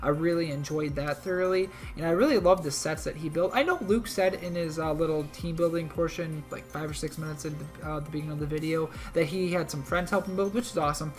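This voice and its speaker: A man co-hosting a league recap, talking through a match in a rambling, self-correcting way, a little hoarse from a cold. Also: a little high, fast talker, few pauses, soft background music. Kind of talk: casual game recap commentary.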